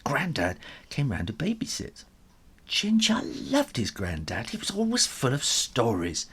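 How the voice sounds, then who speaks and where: Husky male storyteller, very expressive. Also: medium pitch. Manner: animated, dramatic, drawn-out. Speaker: children's author and storyteller. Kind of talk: expressive children's story reading.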